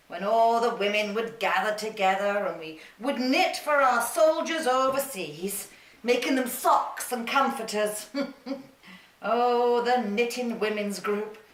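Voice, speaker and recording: A woman's voice: on the high side, fairly bright tone. A woman playing a spirited, reminiscing old suffragist. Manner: chatty, reminiscing, laughs often. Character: spirited older woman suffragist, proud, warm-humored. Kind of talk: theatrical monologue in character. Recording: roomy sound from a distance.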